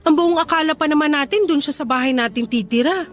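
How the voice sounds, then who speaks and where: Cautious Filipino wife acted by a woman, slightly high. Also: fast talker, lightly acted. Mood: doubtful, uneasy. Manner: questioning, hesitant. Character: cautious, doubtful wife and sister-in-law. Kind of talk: naturalistic radio drama dialogue. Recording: faint music under dialogue. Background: faint music.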